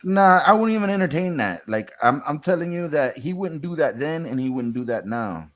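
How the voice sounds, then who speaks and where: A man's voice: on the high side, very animated, moderate pace, a bit bright. A man in a casual chat, reacting to a video in a bemused way and often repeating himself. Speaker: co-host or guest commenter.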